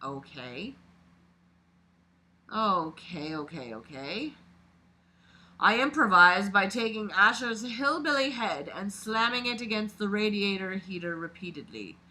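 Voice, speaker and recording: Mid-pitched voice, very sharp and forceful, dramatic read-aloud, exclamatory, mocking asides, swearing. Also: a little slow, poor audio. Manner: expressive, exclamatory, often swearing. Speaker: reader and commentator.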